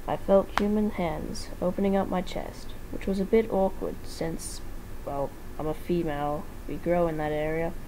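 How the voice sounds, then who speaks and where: A woman's voice: lowish voice, steady tone, moderate pace, noisy background. A woman giving an amateur dramatic reading of a fan fiction story, sometimes stumbling over words and rereading them.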